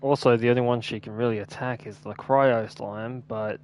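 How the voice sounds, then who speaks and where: A man's voice: medium pitch, steady tone, normal speed, a little mellow. Casual sweary male gamer, faint noise. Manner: muttering, sweary. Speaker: game streamer. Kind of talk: casual gameplay commentary.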